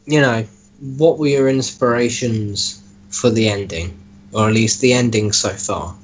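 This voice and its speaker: A man talking casually as an interviewer, with a deadpan, mock-serious teasing manner. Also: on the low side, measured pace, slight background noise, rough recording. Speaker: host interviewing a story's author. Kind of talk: casual joking chat with reading aloud.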